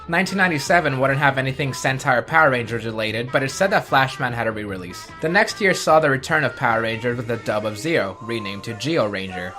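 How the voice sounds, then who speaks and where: A man's voice: mid-range voice, a bit bright. A man reading scripted narration for an explainer video as its host. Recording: music bed under the voice. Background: background music.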